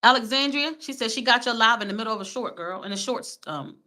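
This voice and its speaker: Bright-voiced East Coast American woman. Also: on the high side, expressive, quick pace. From small town in Maryland, United States. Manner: emphatic, repeats her phrases. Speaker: co-host of a livestream. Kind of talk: casual livestream chat.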